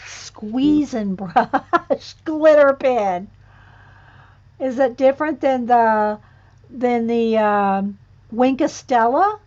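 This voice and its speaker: A woman hosting a casual painting livestream, chatting with viewers and muttering soft asides while she works at a table. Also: on the high side, drawn-out words, muffled recording. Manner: chatty, reads and answers viewer comments. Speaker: art livestream host. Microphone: overhead camera on hands and page.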